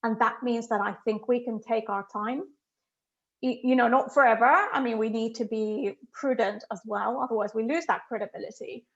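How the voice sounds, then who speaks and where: A woman's voice: on the high side, moderate pace, dull audio. A middle-aged woman from Finland, a guest economist answering questions in an online talk, speaking emphatically and thoughtfully with frequent "you know" fillers.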